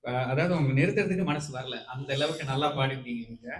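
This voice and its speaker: A man giving encouraging, halting feedback as a singing audition judge, switching between two languages. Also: mid-range voice. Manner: encouraging, halting with fillers, mixes Tamil and English. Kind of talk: encouraging spoken feedback to a contestant. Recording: echoey room with background noise.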